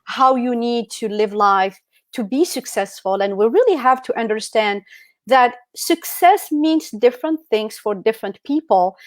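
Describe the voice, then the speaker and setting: Middle-aged female guest speaker from Algeria, higher register, dry sound, motivational seminar delivery. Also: lively intonation, measured pace, no room sound. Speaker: guest speaker at an online e-commerce summit. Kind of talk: motivational presentation. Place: indoors on an online video call.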